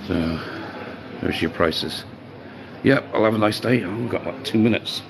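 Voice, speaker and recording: A man chatting casually as he vlogs his day as a bar worker, rambling and greeting people as he goes, his voice going hoarse. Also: fairly low pitch, a little slow. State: voice getting hoarse. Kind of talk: casual walk-and-talk vlog. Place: outdoors on streets by a seafront. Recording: street noise behind the voice.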